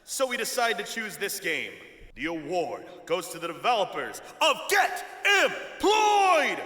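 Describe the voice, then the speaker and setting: A showy awards host in a visual novel, high and bright, in a big echoing hall. He announces with excitement and wide swings of intonation. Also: measured pace, full character voice. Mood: excited. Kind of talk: ceremony announcement. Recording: echoing hall, music underneath.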